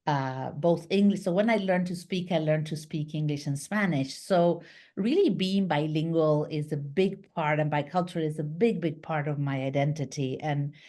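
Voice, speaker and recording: A middle-aged woman from Argentina speaks as a guest over a webcam call from a small home office. Her voice sits low, and she talks in an animated way, gesturing as she goes. She often restarts her sentences and fills pauses with "um" and "uh.". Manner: lively, gestures with her hands, many fillers and restarts. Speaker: guest, business founder. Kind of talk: casual interview on a video call. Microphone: video call webcam.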